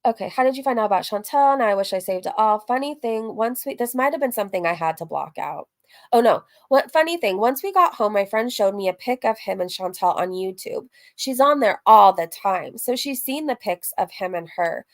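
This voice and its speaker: A woman's voice: medium pitch, expressive, brisk. A woman streamer chatting casually through a recap, reading messages aloud, candid and rambling, breaking off into asides and dropping casual swear words. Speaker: streamer and host of a reaction livestream.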